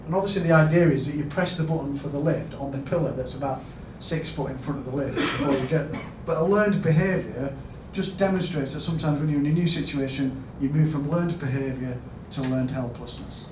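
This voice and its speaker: A man with a Yorkshire accent giving a storytelling conference talk as a health service chief executive, asking the audience questions and rising to loud emphatic bursts. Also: on the high side, a little fast, few pauses. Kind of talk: storytelling keynote speech. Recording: echoey hall, mic at a distance, background noise.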